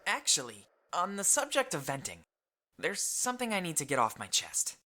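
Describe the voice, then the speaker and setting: A woman voicing an earnest, protective and level-headed companion in a fantasy visual novel, speaking with sincere, measured reasoning. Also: on the low side, huge pitch swings, bright, forceful tone, full character voice. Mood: serious, searching.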